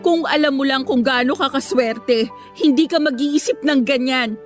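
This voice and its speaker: A woman's voice: high-pitched, moderate pace, soft background music, close to an everyday voice. An actress in a Filipino radio drama playing an earnest, hurt teenage schoolgirl who defends herself with emotional reproach, in a Filipino accent. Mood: hurt, pleading.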